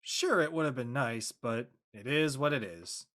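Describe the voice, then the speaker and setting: A man playing an easygoing middle-aged father in a drama reading, speaking in a measured, accepting way. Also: medium pitch, lively intonation, fairly bright tone. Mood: accepting, reflective.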